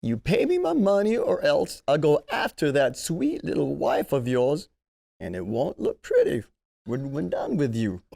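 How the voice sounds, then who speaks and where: A performer plays a menacing mob boss in a comedy script reading. The voice is husky and gravelly, with very wide swings in pitch. The boss is impatient and threatening about money owed. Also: wildly swooping pitch, a little slow, mellow, full character voice.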